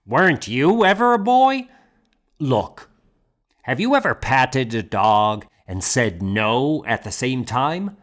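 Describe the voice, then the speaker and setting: A hearty monk schoolmaster performed by a male audiobook reader, sure of himself and a little mocking. He speaks bluntly and with emphasis, at an unhurried pace. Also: mid-range voice, very animated, voice pushed up. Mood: insistent, assured. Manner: blunt, emphatic, self-assured. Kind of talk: audiobook character dialogue.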